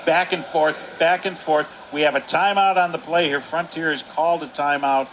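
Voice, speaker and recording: A man giving live hockey analysis as color commentator, measured and agreeable, repeating points for emphasis. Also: fairly high pitch, fairly even intonation, a bit bright, a little hiss or hum. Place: commentary position at an indoor ice rink.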